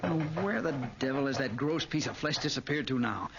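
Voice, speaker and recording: An actor playing an eager, cocky American who is morbidly fascinated by crime, in 1940s radio acting style. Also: male voice, mid-range voice, animated, fairly quick, creaky. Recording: muffled, noisy 1940s radio recording.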